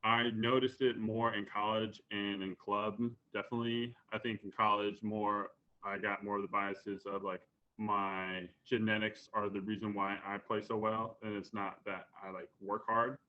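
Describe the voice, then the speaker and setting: A man speaking in a documentary interview, hesitant and filled with pauses and fillers. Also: lowish voice, little pitch movement. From Virginia, USA. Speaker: professional ultimate frisbee player. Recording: dull lo-fi audio.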